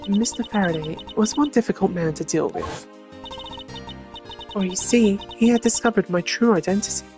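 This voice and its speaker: A woman voicing a gloating female thief and murderer in a video game, with a mocking, theatrical, drawn-out delivery. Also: mid-range voice, over music, close to an everyday voice. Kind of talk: game character voice acting.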